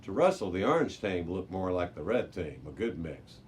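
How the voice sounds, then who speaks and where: A man's voice: low voice, big pitch swings, moderate pace. A man reading a children's adventure story aloud as a library narrator, with the odd small stumble. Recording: noticeable background noise.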